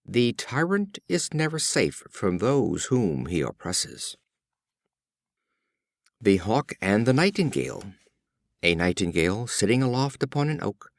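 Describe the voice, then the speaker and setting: A man reading fables as a scripted audiobook narration, in a measured storybook manner. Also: lowish voice, dry recording, studio quality. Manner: measured, storybook reading with acted character lines.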